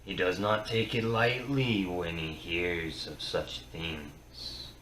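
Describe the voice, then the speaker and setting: Male storyteller for dramatic verse: deep, grave, theatrical, halting delivery, recorded at a distance from the mic. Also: steady tone. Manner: solemn and theatrical, with halting phrases and swings from hushed to booming. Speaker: storyteller reciting Norse myth. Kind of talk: dramatic poetry reading. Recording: roomy, distant mic with faint noise.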